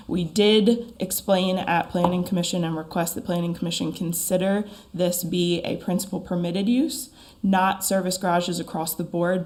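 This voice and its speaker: A woman attorney speaking formally at a town council meeting, her voice froggy and hoarse from a lingering cold, measured and methodical. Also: on the low side, darkish tone. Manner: measured and methodical, with frequent ums. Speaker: attorney for an applicant. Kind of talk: formal public meeting presentation.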